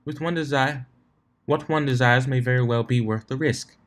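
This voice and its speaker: A man's voice: medium pitch, fairly even intonation, normal speed, close to an everyday voice. A man voicing a refined, fussy female unicorn fashionista with a theatrical, ladylike manner in a storybook reading. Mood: insistent.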